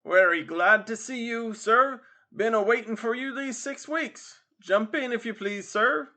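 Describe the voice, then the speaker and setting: A man's voice: extremely high pitch, a little slow, bright, forceful tone, pitched above the performer's own voice, exaggerated voice. A man voicing pushy, mock-polite cab touts in a storybook, calling out for customers. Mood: eager hawking. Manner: hawking, calling out.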